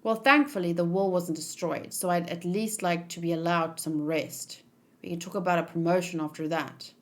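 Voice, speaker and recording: A woman's voice: fairly low pitch, fairly even intonation, normal speed, pitched below the performer's own voice, natural voice. Woman playing a young male manga soldier, weary, cocky, oblivious, casual self-satisfied grumbling.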